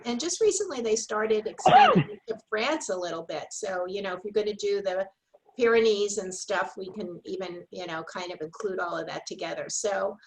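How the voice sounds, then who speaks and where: A woman hosts an online tasting on a video call and sets up her guest with effusive, superlative-filled praise. She talks casually and often says "um" and "you know." Her pitch sits in the middle for a woman. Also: slight background noise, rough recording. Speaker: host of an online wine tasting series.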